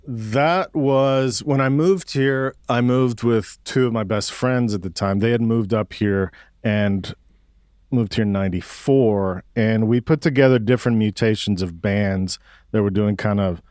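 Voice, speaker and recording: A man's voice: on the low side, unhurried, darkish tone, clean tone, dry recording, studio quality. A man in his forties from Mexico City, chatting casually and thinking aloud as an interview guest, with laid-back slang. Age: 40s. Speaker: guest musician. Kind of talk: casual reflective interview chat.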